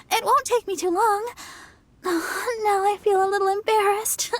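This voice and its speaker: An actress playing a sweet, earnest young girl in a video game, gentle and a little bashful. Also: very high voice, dry recording. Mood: bashful, grateful. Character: sweet, earnest young church idol girl, modest and helpful. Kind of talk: video game cutscene acting.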